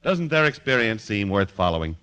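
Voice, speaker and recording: A man's voice: lowish voice, sing-song, a little fast, sharp tone, clean tone. A man reading a vintage 1940s radio advertisement as announcer, with polished, emphatic enunciation. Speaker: commercial announcer. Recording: restored old radio broadcast.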